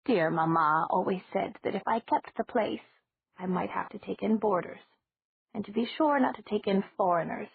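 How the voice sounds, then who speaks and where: Woman doing a gentle batty old witch. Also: medium pitch, vocal fry, slightly rough, dry recording. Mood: gentle, rambling. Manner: slow, gentle and rambling. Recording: dry, tinny phone-like sound.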